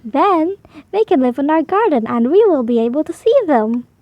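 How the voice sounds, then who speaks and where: Woman voicing an excitable high-pitched little girl. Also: moderate pace, no echo, highs cut off. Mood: earnest, hopeful. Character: eager, dreamy little girl who loves fairies.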